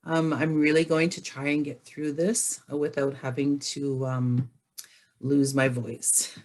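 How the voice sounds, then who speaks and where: A woman from the James Bay area of northern Canada giving a webinar talk over a video call, hoarse from laryngitis, speaking carefully with many pauses. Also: low voice. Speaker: guest presenter. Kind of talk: webinar presentation.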